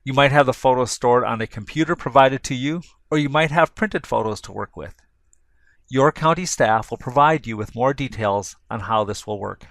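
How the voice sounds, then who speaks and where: Male narrator for volunteer training: measured, instructional delivery, close and dry sound. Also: medium pitch, fairly bright tone, no room sound.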